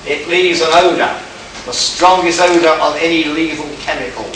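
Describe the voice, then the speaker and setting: Stern, deliberate detective for a radio play, male actor, distant from the mic, clear background noise. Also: a little high, steady tone, lightly acted. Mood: sardonic, accusing. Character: stern, shrewd male detective accusing murder suspects. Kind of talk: amateur radio play acting. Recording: echoey, distant live recording with noise.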